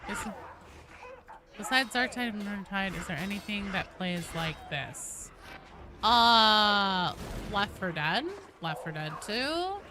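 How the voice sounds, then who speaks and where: A woman hosting a game livestream, chatting casually and answering viewers in an animated, playful, conversational way. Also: medium pitch, slow, background noise.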